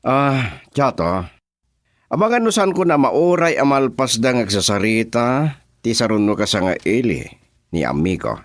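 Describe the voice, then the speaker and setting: Commanding Filipino gang boss, lively intonation. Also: male voice, mid-range voice, a little slow, no echo, clean studio sound. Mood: brisk. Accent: Filipino, Ilocano. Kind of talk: Ilocano radio drama acting.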